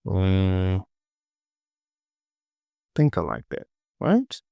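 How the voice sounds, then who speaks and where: A man giving an informal software tutorial as a voice-over, often repeating words and short phrases. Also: low-pitched, wildly swooping pitch, drawn-out words, creaky, clean tone, no echo, lo-fi sound. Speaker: 3D modelling tutor. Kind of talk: casual screen-recorded software tutorial.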